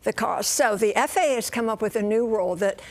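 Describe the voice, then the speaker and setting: A woman's voice: fairly high pitch, brisk. An American woman working as a news correspondent, explaining a story live in an informal, explanatory way. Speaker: transportation correspondent.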